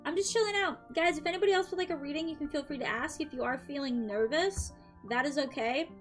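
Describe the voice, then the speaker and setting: Young woman, high bright voice, chatty and upbeat, slightly dry throat, faint music behind. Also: quick pace. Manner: chatty and upbeat, frequent "um" and light laughs. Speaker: VTuber streamer doing tarot readings. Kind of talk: casual live-stream chat.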